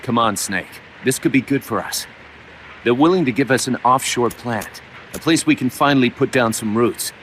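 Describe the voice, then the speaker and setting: An actor playing an eager, pragmatic younger mercenary deputy in a game cutscene, earnest and persuasive. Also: male voice, mid-range voice, normal speed, fairly bright tone, slight background noise, natural voice, low-quality recording. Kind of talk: video game cutscene voice acting.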